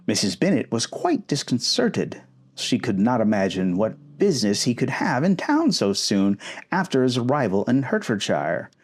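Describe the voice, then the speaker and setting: A man reading a classic novel aloud as expressive storybook narration for an ASMR recording. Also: mid-range voice. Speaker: audiobook-style narrator.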